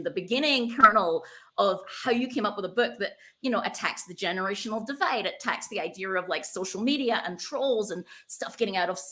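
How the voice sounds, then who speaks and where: A woman's voice: mid-range voice, sharp tone. A woman hosting a conversational book interview, speaking in an effusive, enthusiastic way. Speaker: podcast host interviewing an author.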